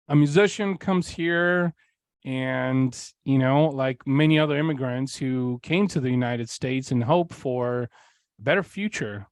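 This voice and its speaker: A man's voice: mid-range voice, smooth, no echo. A man in his forties, originally from Bulgaria, telling his story as a podcast guest in a candid, rambling way, with many fillers. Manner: candid, rambling, with frequent fillers and occasional swearing. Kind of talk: casual interview storytelling.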